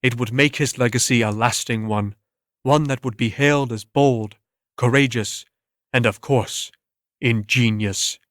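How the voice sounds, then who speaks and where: A man's voice: medium pitch, measured pace, no echo. Man narrating a story, dry close recording. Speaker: narrator of a dramatic story reading.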